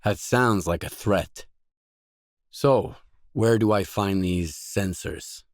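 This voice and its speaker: An actor voicing a gruff, guarded lone stalker for hire in a video game, speaking in terse, dry, self-assured lines. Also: male voice, low-pitched, takes it slowly, no room sound. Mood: wary.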